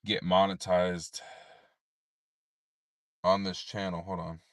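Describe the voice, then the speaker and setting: A tired man with a deep voice, rambling in a laid-back way with many fillers while livestreaming from his parked car at night. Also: little pitch movement, slow pace, no echo, clean studio sound. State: tired, unable to sleep. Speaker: streamer. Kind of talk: late-night livestream chat.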